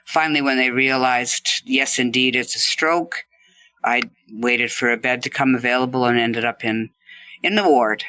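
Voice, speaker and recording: Male guest, bright tone, relaxed storyteller, dry recording. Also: mid-range voice, normal speed, smooth, dry recording, low-quality recording. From Canada. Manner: relaxed, laughs while telling his story. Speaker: stroke survivor guest. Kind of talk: conversational interview storytelling.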